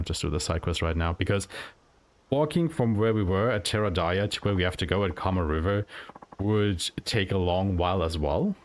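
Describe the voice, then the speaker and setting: A man giving casual, explanatory commentary as he plays a video game live. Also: low voice. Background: video game music and sounds.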